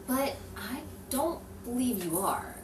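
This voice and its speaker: A woman's voice: a little high, lively intonation, slow. A woman playing a persistent, coaxing newspaper reporter in an improvised noir radio play. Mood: persuasive, firm. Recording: roomy, distant mic with faint noise.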